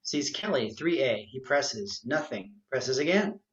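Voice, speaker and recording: A man's voice: medium pitch, lively intonation, a little slow, breathy edge. A man reading out stage directions as the narrator of an amateur script reading. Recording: dull, muffled home recording.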